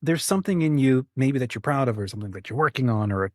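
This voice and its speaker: Podcast-style host: man, rapid casual delivery, average pitch, dry close sound. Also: lively intonation, no room sound. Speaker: interview host. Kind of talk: casual, conversational questioning.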